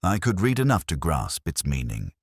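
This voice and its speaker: Very deep, brooding detective narrator played by a man. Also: wildly swooping pitch, slightly rough, no room sound, studio quality, exaggerated voice. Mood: reflective. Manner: measured, literary, reflective, solemn. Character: brooding, melancholy male English police inspector recounting a case. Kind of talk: scripted first-person detective story narration.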